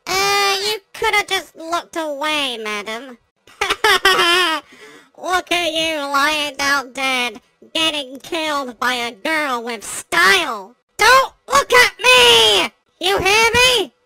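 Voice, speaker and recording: A woman's voice: very high voice, animated, takes it slowly, very noisy, full character voice. A woman voicing a sassy, taunting animatronic girl with pink hair, in game voice lines. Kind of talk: video game character voice lines.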